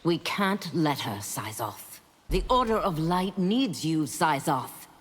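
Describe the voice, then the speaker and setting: A resolute demon warrior from a fighting game. Her voice is low and expressive, solemn and formal, carried on long reverb. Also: slow pace, husky, full character voice. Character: resolute female demon seeking redemption. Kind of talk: video game character dialogue. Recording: long reverb, faint noise.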